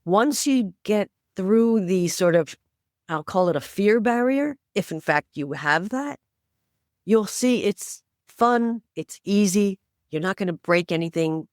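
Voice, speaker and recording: A woman's voice: mid-range voice, animated, slow pace, a little mellow, no echo, clean studio sound. A woman in her sixties, a podcast guest, speaking emphatically and persuasively as she urges listeners on. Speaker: podcast guest, inclusive AI advocate. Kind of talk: conversational interview.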